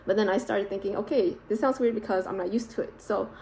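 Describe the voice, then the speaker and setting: A woman's voice: mid-range voice, quick pace, gravelly, dark tone, a little hiss or hum, rough recording. A woman talking to her camera in a casual vlog, rambling and self-reflective with many fillers and restarts, a native Vietnamese speaker. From United States, California. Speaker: vlogger.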